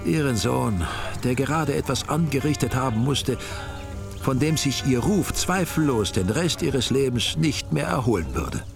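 An older man narrating a radio drama in a measured, wry way. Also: mid-range voice, expressive, gravelly. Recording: studio radio play with music.

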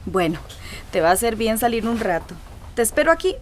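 A woman's voice: on the high side, very animated, a little fast, a little hiss or hum. A woman with a Costa Rican accent playing a worried, coaxing, affectionate mother in a radio soap opera.

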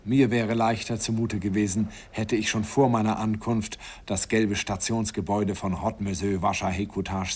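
A man's voice: on the low side, breathy edge. An actor playing a middle-aged man who wistfully and self-mockingly recalls his youth in a radio play. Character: man in his forties recalling his student days. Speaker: narrator looking back on his youth. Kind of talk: radio drama narration.

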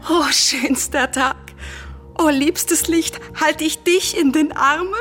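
Intensely emotional tragic Greek princess, music behind. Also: female voice, high-pitched, slow pace, fairly bright tone. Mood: rapturous. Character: grieving, defiant princess of Greek tragedy, devoted sister. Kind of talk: solemn radio drama acting of verse tragedy.

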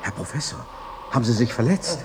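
An actor playing a sober, devoted companion of an aging master, speaking in a measured, precise manner in a literary radio drama. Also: male voice, medium pitch, animated, a little mellow, slight background noise, natural voice. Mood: concerned, deferential.

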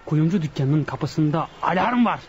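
An actor playing a bossy, impatient leader of a burglary gang in a radio drama, commanding and dismissive. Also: male voice, a little high, animated, moderate pace, dull audio, soft background music, lightly acted. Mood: commanding, scheming. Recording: radio play with faint background noise.

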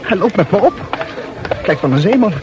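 A man's voice: high-pitched, animated, normal speed, background noise. An actor playing a nervous bachelor muttering his anxious thoughts as he walks through town in an old radio play. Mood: fretting. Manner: anxious inner monologue. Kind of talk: 1960s radio play acting.

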